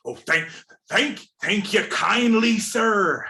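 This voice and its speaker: A man's voice: high voice, lively intonation, slow, gravelly, sharp tone, full character voice. A man playing a wily, blustering pirate seaman in a radio play, speaking in pirate dialect. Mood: oily, humble.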